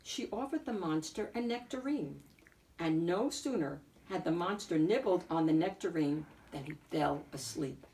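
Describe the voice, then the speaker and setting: A woman reading a picture book aloud as an expressive narrator, phrasing deliberately with emphatic swells. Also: on the low side, far from the mic. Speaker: picture book reader and host.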